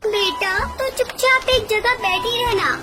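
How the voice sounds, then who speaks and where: Woman voicing a very high-pitched gentle mother bird. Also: unhurried. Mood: worried, caring. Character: gentle anxious mother bird, pleading and caring. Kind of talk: Hindi animated children's story dialogue. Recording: music behind the voice.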